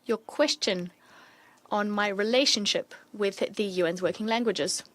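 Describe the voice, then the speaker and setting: A woman giving simultaneous interpretation of formal diplomatic speeches, delivering them steadily in quick bursts between pauses. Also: medium pitch.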